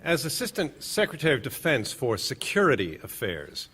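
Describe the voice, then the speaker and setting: A man's voice: medium pitch, wildly swooping pitch, moderate pace, fairly bright tone, slightly rough, full character voice. A government attorney in a radio drama. His mid-range voice moves up and down a lot, formal and assertive in the courtroom manner. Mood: formal, leading. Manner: formal, emphatic courtroom delivery. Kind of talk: radio play acting.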